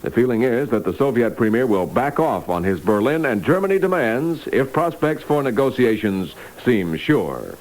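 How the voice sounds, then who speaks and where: A man's voice: medium pitch, very animated, moderate pace, hardly any pauses, darkish tone, low-quality recording. A man reading scripted news as an anchor on a vintage 1960s radio broadcast.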